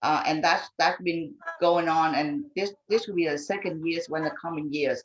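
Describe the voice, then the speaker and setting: A woman who speaks English as a second language, talking earnestly and haltingly in a panel discussion. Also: deep voice, fairly even intonation, muffled recording. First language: not English. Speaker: immigrant and refugee advocate on a panel.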